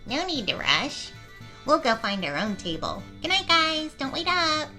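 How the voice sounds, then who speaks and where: A woman's voice: high voice, big pitch swings, measured pace, pushed and bright, faint music behind, full character voice. A woman playing a bold, flirty gnome adventurer in a fantasy audio story, playful and brash. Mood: flirty, teasing.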